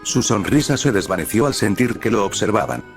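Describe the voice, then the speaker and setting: Male fanfic narrator, lowish voice. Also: big pitch swings, brisk, creaky, soft background music. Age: young adult. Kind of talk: audiobook-style story narration.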